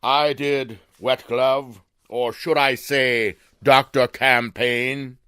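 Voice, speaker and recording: A man playing a forceful, theatrical vigilante sleuth. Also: mid-range voice, fairly even intonation, smooth. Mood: taunting. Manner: theatrical, deliberate, commanding. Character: stern, self-assured male vigilante super sleuth. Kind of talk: radio mystery acting.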